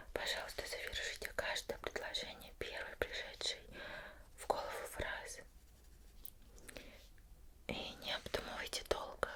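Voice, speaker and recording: A woman doing an ASMR roleplay as an interviewer, putting questions slowly and gently in a formal, deliberate way with pauses.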